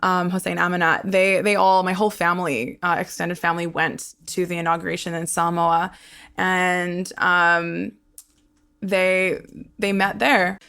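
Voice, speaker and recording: A woman's voice: on the low side, flat delivery, measured pace, gravelly, a bit bright, clean tone. A woman, a podcast host chatting about her family over a video call, laughing often. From Australia. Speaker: podcast host being interviewed. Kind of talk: personal storytelling in conversation.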